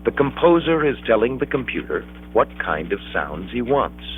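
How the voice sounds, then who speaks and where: A middle-aged American man reading scripted 1970s educational film narration in a measured, explanatory way. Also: medium pitch, very animated, a little hiss or hum. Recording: dull, dated 16mm film soundtrack.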